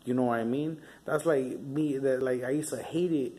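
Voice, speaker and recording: A man's voice: mid-range voice, steady tone, normal speed, warm tone. A young man, telling stories in a casual, rambling vlog, swearing freely and leaning on fillers. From Southern California. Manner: rambling, profane street talk with many fillers. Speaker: vlogger. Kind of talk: casual storytelling monologue.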